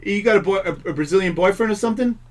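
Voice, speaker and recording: A male radio host tells long, rambling stories to his guests, animated and quick to laugh. His voice sits a little higher than most men's. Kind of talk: casual radio talk with guests.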